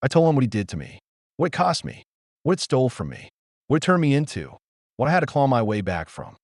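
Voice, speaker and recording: A man performing a dramatic audio-play monologue as a wounded, solemn, defiant trauma survivor, speaking deliberately and emphatically with dramatic pauses. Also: mid-range voice, sing-song, no echo, clean studio sound. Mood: resolute.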